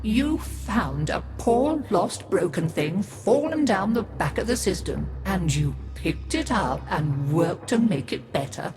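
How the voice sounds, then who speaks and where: A woman's voice: mid-range voice, very animated, slow, hardly any pauses, a little mellow. A woman playing a wry, kindly old grandmother in a video game, with a folksy, drawling manner. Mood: warm, affectionate. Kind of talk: dramatic video game character acting. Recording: roomy echo, music behind.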